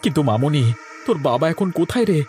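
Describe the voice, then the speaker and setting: A man playing a worried, indignant village man in an animated horror story, exclaiming anxiously. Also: a little high, fast talker, faint music behind, close to an everyday voice. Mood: shocked, indignant.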